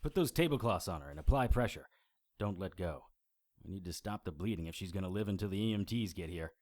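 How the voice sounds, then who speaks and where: A man's voice: low-pitched, quick pace, no echo. An actor playing a dry, wry male occult investigator who narrates his case in an audio drama. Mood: grim, wry. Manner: deadpan, wry. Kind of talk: first-person detective audio drama narration.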